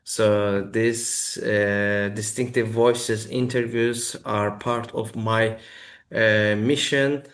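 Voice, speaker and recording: A man hosting an interview, asking questions in careful, halting English with many pauses. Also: lowish voice, fairly even intonation, fairly bright tone. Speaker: host, interviewer.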